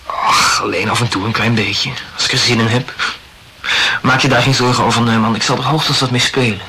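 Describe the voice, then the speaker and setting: An actor playing a brooding, wryly morbid male poet in a Dutch radio play, speaking reflectively and haltingly in a gravelly voice. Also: medium pitch, fairly even intonation, heavy background noise, lightly acted. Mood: wry, mocking. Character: brooding, self-absorbed male poet, wryly morbid about his youth.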